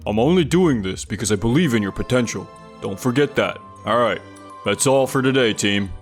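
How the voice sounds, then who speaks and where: A man's voice: medium pitch, expressive, moderate pace, faint music behind, natural voice. A man voicing a demanding, numbers-driven sales manager in an English manga dub, firm and authoritative. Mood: firm, conceding.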